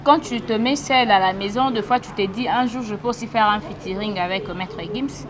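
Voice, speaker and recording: A woman's voice: medium pitch, brisk. A woman interviewing a guest outdoors, leading with questions and switching between Lingala and French. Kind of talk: street interview. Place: outdoors in a city neighborhood. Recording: crowd noise outdoors.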